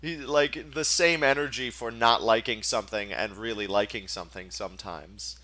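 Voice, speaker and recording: A man's voice: fairly low pitch, normal speed, bright, forceful tone. A man hosting a podcast, talking casually, asking probing questions and explaining his points while checking that he is understood. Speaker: podcast host. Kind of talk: casual chat.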